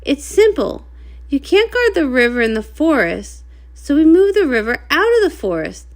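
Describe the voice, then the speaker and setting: Woman acting as small young male unicorn, excitable, earnest, high pitch, swooping intonation, storybook character. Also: normal speed. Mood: earnest, insistent.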